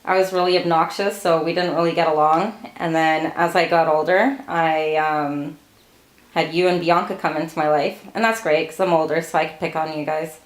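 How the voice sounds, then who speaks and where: A woman's voice: low voice, moderate pace, roomy sound, rough recording. A woman in her late thirties, born in Portugal, answering family interview questions with frequent laughter. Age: late 30s. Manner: laughs often, relaxed storytelling.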